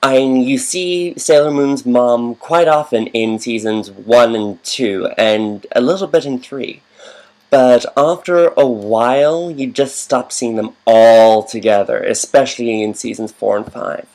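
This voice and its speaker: A man's voice: mid-range voice, a little slow, darkish tone, low-quality recording. A man giving casual, rambling commentary over a game, wandering into fannish asides.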